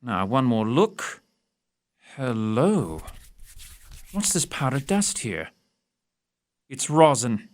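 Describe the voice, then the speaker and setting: Man playing a precise, pompous gentleman detective. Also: medium pitch, very animated, takes it slowly, long pauses. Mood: brisk, absorbed. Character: keen, self-assured gentleman detective with a dry wit. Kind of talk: audio theatre period mystery acting.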